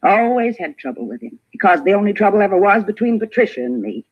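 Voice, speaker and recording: A huffy, self-important busybody woman in an old radio drama. Her voice is husky and swoops about as she argues in clipped bursts. The vintage recording is dull. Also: mid-range voice, very animated, fast. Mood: spiteful, gloating. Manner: huffy, argumentative, clipped emphatic phrasing. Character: nosy, prickly, self-important gossiping female neighbor. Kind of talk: 1950s radio drama acting.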